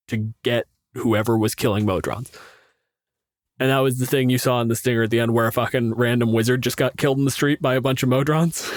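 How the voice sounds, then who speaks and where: A man's voice: mid-range voice, no echo, studio quality. Man co-hosting a podcast, blunt and profane. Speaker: podcast co-host and game master. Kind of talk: casual podcast banter.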